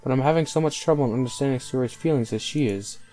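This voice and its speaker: Male let's play narrator, mellow tone, faint music behind. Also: medium pitch, quick pace. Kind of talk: reading visual novel text aloud.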